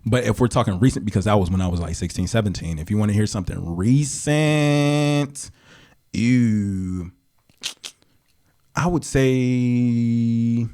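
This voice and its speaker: A young man in his twenties, a guest musician, chatting casually on a podcast in a relaxed, slangy way full of fillers. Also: mid-range voice, measured pace.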